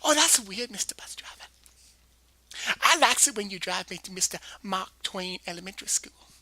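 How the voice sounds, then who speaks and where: A woman's voice: mid-range voice, animated, normal speed, sharp tone. A woman voicing a rambling, naive eight-year-old girl who stammers and uses childish grammar in a comedy monologue. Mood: chirpy.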